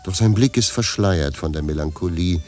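A man giving scripted literary narration for a radio play in a measured, deadpan manner. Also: low voice, soft background music.

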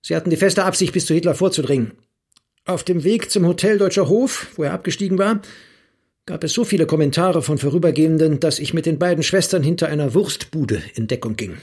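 A man's voice: a little high, fairly quick, a little mellow, slightly rough. An actor playing a primly disapproving man who recounts his memoir in an audio drama.

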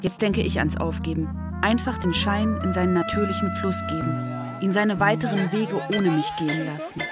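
A woman narrates in the first person in a reflective, scripted way. Her voice sits at a middle pitch. Music plays prominently underneath. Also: normal speed. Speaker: author and narrator.

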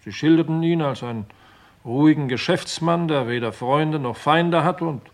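An actor playing a matter-of-fact, slightly dim police detective who is slow to catch on, in a vintage German radio crime play. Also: male voice, on the high side, close to an everyday voice. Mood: dutiful reporting. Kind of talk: 1950s-80s German radio crime drama acting.

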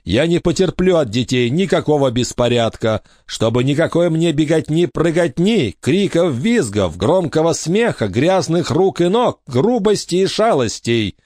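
A man's voice: on the high side, very animated, measured pace, hardly any pauses, a little mellow, no echo, voice pushed up. A haughty middle-aged lady who lectures in a stern, fussy tone, as a male storyteller puts her on. Her voice sits well above a man's natural register and swings widely. The audiobook recording is dry and close. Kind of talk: audiobook character voice.

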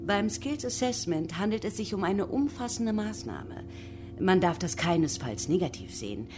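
An actress playing a polite, evasive jobcenter manager in a radio drama, speaking in a formal, patient, bureaucratic way. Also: female voice, mid-range voice, very animated, normal speed, music in the background, natural voice. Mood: soothing, officious.

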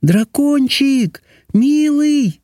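A man voicing a gentle young princess from a storybook, speaking in a soft, timid, coaxing way. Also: sits high, sing-song, normal speed, big-room sound, voice pushed up. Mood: coaxing, trembling. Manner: soft, tender, cooing.

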